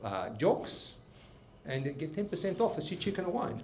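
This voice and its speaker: Man putting on an animated high-pitched Italian comic host. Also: moderate pace, slight background noise. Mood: jovial. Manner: broken English, animated, playful. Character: Giuseppe, excitable Italian immigrant man, comic stage host. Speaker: comedian and show host. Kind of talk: stand-up storytelling and hosting. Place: live club stage with audience. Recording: roomy live stage, faint audience.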